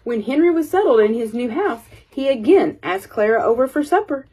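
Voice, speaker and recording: A woman reading a children's picture book aloud as an expressive storyteller. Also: a little high, fairly quick, slightly rough, low-quality recording.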